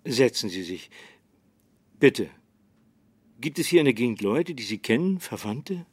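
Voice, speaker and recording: An actor playing a calm, resigned small-town sheriff in a radio drama, speaking in a dry, measured manner. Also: male voice, mid-range voice, slightly rough, no room sound. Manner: dry, measured, hesitant hums.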